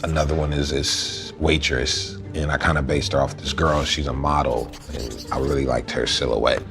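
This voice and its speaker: A man's voice: extremely low pitch, steady tone, moderate pace, creaky, music in the background. A young man hosting his own show, talking in a loose, joking, slangy way about making a stop-motion film. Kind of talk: casual vlog chat.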